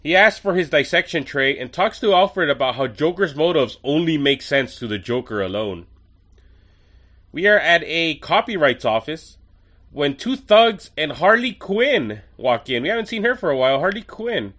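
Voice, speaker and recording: A man's voice: on the high side, sing-song, moderate pace, a bit bright. A man hosting a podcast and reviewing an episode in a chatty, enthusiastic way with wry asides, a little nasal from a cold. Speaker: podcast host.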